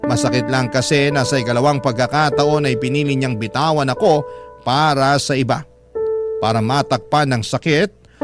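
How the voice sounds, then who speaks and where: A man's voice: mid-range voice, close to an everyday voice. A man narrating a heartbroken woman's love letter for radio drama, in a sorrowful, emotive storytelling manner. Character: heartbroken woman letter writer confiding her lost love. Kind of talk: dramatic first-person letter reading. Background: loud music.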